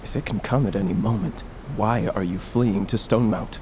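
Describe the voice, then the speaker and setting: A man's voice: fairly low pitch, normal speed, dark tone, lightly acted. A young man acting in an audio drama as a headstrong, vengeful grandson and warrior, speaking with earnest insistence. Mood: defiant, insistent. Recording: clearly noisy ambience behind the voice.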